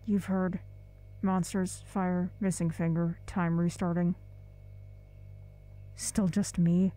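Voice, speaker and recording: Audio drama role, female actor: drained surveillance officer woman, guarded, clipped, reluctant, soft dark tone, slightly low. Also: little pitch movement, slow pace. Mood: sullen, guarded. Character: withdrawn, exhausted female surveillance officer wanting solitude. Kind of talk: audio drama monologue, spoken log.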